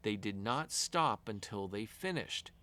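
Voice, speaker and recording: A man reading a fairy tale aloud to children as an expressive storyteller, with deliberate storybook phrasing. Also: fairly low pitch.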